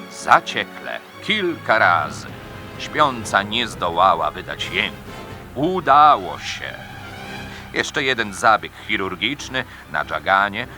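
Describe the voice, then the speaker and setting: Slow, low-voiced man narrating dramatic true crime. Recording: music bed behind the voice. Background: music.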